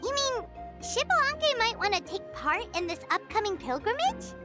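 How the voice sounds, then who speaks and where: A woman's voice: sing-song, moderate pace. A woman voicing a tiny, chirpy, greedy floating girl companion in a game, who talks about herself in the third person. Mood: curious. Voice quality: very high squeaky voice. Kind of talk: game voice acting. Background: game music.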